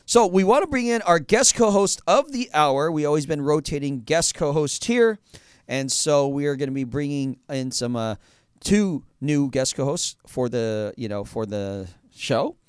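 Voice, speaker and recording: A man's voice: fairly high pitch, animated, normal speed, clear, resonant tone, no echo. A man hosting a radio show in a studio, chatting casually, full of fillers and restarts. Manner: rambling, many fillers and restarts.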